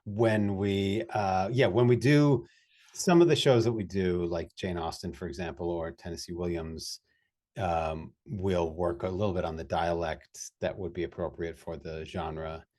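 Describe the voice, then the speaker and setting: A man from Wisconsin hosting a casual chat, upbeat and animated as he introduces and talks. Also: deep voice, unhurried. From Wisconsin, USA. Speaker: host.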